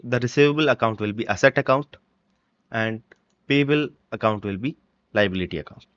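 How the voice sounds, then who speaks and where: Man giving a dull-sounding software walkthrough. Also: medium pitch, no room sound. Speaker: software tutorial presenter. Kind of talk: step-by-step screen-recording walkthrough.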